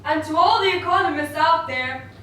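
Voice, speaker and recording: A woman's voice: high voice, normal speed, pushed and bright. A woman voicing an opinionated male economist puppet in a scripted mock interview, lecturing emphatically and dismissively. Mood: lecturing, assured. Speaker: interview guest. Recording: very echoey room, distant mic, faint noise.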